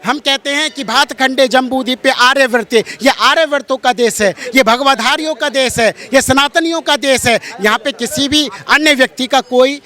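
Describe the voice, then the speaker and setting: A man with a strikingly high, sharp and forceful voice holds forth as a religious interviewee. His delivery is preachy and emphatic, and he hammers points home by repeating his phrases. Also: normal speed, hardly any pauses. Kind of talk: impassioned street-interview polemic.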